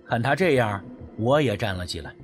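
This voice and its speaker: A man narrating a first-person story as an audiobook, in an expressive, theatrical way. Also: mid-range voice, brisk. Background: background music.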